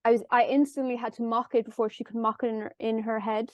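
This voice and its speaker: A nasal Irish woman with a Galway lilt sits in for a casual chat, her voice high and bright in the nose. She tells her stories easily and acts out what she said at the time. The sound is close and dry, with no room around it. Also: level tone, fast talker, no room sound. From Ireland. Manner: chatty storytelling, acts out her own past lines. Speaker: musician guest.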